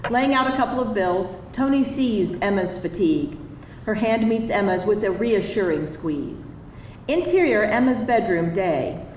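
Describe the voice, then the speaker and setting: A woman's voice: medium pitch, expressive, a little slow, darkish tone. A woman narrator reading screenplay stage directions aloud at a live table read. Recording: echoey live hall, distant, faint noise.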